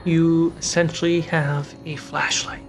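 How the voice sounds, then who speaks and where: A man acting as game master, narrating a tabletop adventure in a measured way with dramatic pauses. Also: fairly high pitch, level tone, faint music behind.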